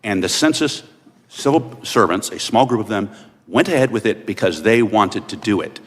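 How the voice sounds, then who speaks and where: Low man testifying in echoing room. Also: animated, moderate pace. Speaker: witness at congressional hearing. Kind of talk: formal testimony. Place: large indoor hearing room. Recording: reverberant hearing room.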